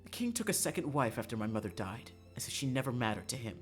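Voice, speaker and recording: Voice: mid-range voice, big pitch swings, fast talker, faint music behind, close to an everyday voice. A streamer voicing a cold, guarded young princess from a visual novel, formal and dismissive.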